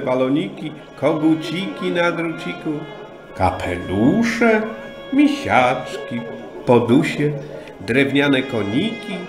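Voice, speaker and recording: A man narrating a children's audio story in an expressive, storytelling way. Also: mid-range voice. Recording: roomy sound with music behind.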